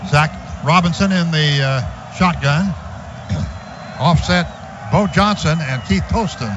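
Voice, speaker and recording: A man calling a football game for radio from a stadium booth. His voice sits fairly high and climbs louder with every big gain. The broadcast sounds muffled and lo-fi, with steady noise behind him. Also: slow, a crowd in the background. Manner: rises in pitch and volume on big plays, calm between. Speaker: radio play-by-play announcer. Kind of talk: live radio play-by-play.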